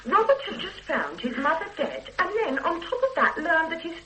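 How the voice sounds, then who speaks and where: A defensive, indignant housewife in an old radio play. Her bright voice swings widely in pitch. She sounds protective and put out. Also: sing-song, normal speed, heavily acted, poor audio. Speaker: witness being questioned. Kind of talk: radio drama acting.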